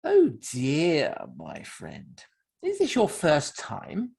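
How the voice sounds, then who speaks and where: A man voicing a mocking, smooth-talking criminal in a novel reading, with arch, patronising politeness. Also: a little high, wildly swooping pitch, drawn-out words, a little mellow, exaggerated voice.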